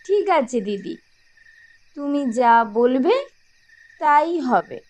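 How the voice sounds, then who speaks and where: A woman's voice: sits high, animated, slow, a little mellow. A woman voicing a meek, gullible young girl in a cartoon, innocent and eager to please. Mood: innocent, obedient. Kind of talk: cartoon voice acting in a moral tale.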